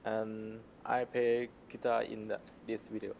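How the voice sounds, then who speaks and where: A man's voice: on the low side, completely flat delivery, measured pace, vocal fry, a little mellow, dull audio, slight background noise. A male student from Thailand giving a short, hesitant self-introduction and correcting himself as he goes, a little away from the mic.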